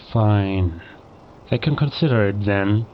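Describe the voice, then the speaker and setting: Male actor as weary bureaucrat, very slow, lowish, terse, sceptical, muffled tape recording. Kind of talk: cinematic audio drama acting. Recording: dull, faintly noisy tape playback.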